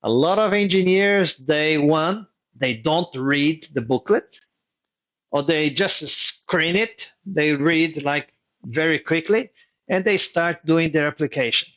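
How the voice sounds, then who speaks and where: A man's voice: a little high, takes it slowly, a bit bright. A man whose first language is Portuguese, hosting a live online workshop as a mentor and explaining advice step by step, repeating key points. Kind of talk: live online teaching session.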